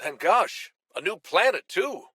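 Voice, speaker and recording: A commanding superhero from a video game. His voice sits a little high with a bright, forceful edge. He delivers each phrase earnestly and deliberately, in a very dry recording. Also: very animated, slightly rough, dry recording, full character voice. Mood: warm, friendly. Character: powerful male superhero, once kindly, now menacing. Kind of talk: acted video game voice lines.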